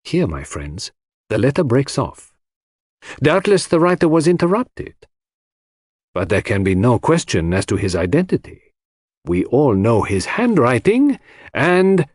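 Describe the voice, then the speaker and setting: Guy reading as a showy confident detective, very deliberate, big pitch swings, dry sound. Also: huge pitch swings, darkish tone, husky, dry recording, exaggerated voice. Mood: grave, triumphant. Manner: impressive, deliberate, flourishing. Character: theatrical, self-assured Belgian male detective presenting his deductions. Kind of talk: audiobook character dialogue.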